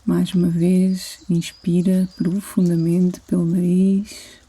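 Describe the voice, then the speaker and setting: A woman with a European Portuguese accent leads a guided sleep meditation. Her voice is very dark and mellow, slightly husky, and she speaks very slowly, gently leaving long pauses between phrases. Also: on the low side, level tone, poor audio. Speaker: yoga nidra instructor.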